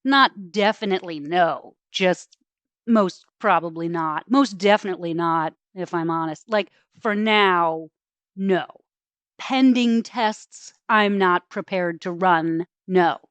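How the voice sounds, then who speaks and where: A woman's voice: on the low side, slow, a bit bright, no room sound. A woman voicing a patronizing, flippant male doctor in a storybook, hemming and hawing as she talks. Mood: dismissive. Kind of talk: storybook character voice.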